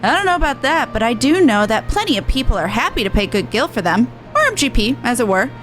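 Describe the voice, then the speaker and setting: Woman voicing an earnest, high-voiced game merchant woman. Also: very animated, fast, fairly bright tone, faint music behind. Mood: brisk, cheerful. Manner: earnest, plain-spoken, colloquial. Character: earnest, brisk woman merchant running a resistance charity. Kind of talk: reading video game dialogue in character.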